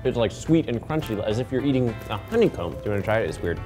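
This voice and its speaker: A young man with a fairly low voice hosts a comedy vlog, opening fan mail and tossing in dry, wry asides. Music plays clearly behind him. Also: big pitch swings, quick pace. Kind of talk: deadpan comedic vlog banter.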